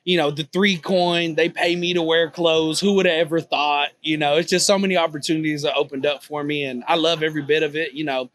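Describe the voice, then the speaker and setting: A man in his twenties talks in an easygoing Texan drawl, his voice sitting a little high for a man. He rambles comfortably, dropping "you know" every few phrases as he answers questions. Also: fairly even intonation, fairly quick, hardly any pauses, a bit bright. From Texas, USA. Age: 20s. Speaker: guest, poker vlogger. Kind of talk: casual interview chat. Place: on a cruise ship.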